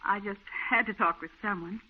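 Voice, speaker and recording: An actress playing an earnest, worried young fiancee in a 1940s radio drama. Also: female voice, medium pitch, fairly quick, slightly rough, close to an everyday voice. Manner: earnest, anxious. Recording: thin, tinny 1940s radio recording.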